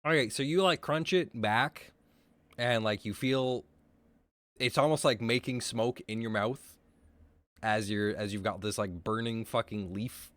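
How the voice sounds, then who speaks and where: Man game master, low voice, rambling and casual, dry recording. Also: a little slow, a bit bright, no echo. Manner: rambling, many fillers and swears. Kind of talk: narrating a tabletop game.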